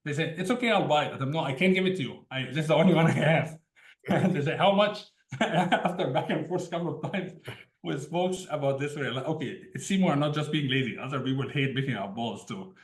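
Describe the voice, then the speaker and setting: A man's voice: fairly high pitch, fairly quick. A man from Egypt, a tech founder telling his story as an interview guest in an easygoing way, often laughing between sentences. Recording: roomy echo.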